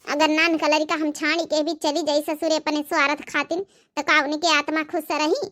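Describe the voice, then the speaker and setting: Woman playing high, bright, stubborn Awadhi sister. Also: level tone, moderate pace. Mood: firm, defiant. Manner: firm, argumentative. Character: stubborn, devoted young aunt, self-sacrificing sister. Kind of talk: amateur cartoon story dialogue.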